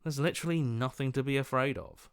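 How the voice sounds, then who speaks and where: An actor playing a detached, fearless inner voice in a video game, talking in a flat, dismissive, unhurried way. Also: male voice, mid-range voice, a little hiss or hum, lightly acted. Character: cold, fearless, detached male inner voice, bored by danger.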